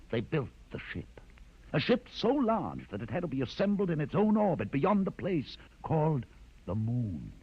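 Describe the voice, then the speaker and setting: An actor in a vintage radio drama playing a learned, commanding rebel leader with a measured, grandiose, theatrical delivery. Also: male voice, mid-range voice, husky. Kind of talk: 1950s radio drama acting. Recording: dull, muffled vintage radio recording.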